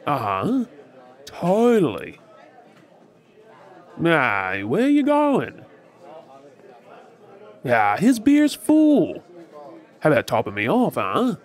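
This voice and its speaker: A man's voice: fairly high pitch, wildly swooping pitch, full character voice. Man acting a swooping, tipsy Australian flirt. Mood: pestering, playful. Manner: teasing, drawling, halting with hesitations. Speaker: audio roleplay performer. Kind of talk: ASMR audio roleplay, flirty monologue to the listener. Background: faint bar crowd chatter.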